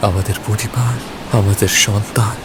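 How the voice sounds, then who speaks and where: Frightened sailor, male performer: lowish voice, hopeless, very loud storm noise over it. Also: fairly even intonation, normal speed, close to an everyday voice. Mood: despairing panic. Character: terrified despairing sailor. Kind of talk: cartoon fairy-tale dialogue. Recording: loud thunderstorm drowning the voice.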